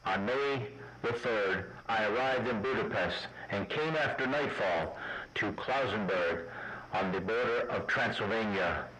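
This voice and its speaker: A man playing an earnest law clerk, narrating a travel journal in a formal, measured radio drama style. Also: fairly low pitch, sharp tone. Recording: noisy amateur radio drama.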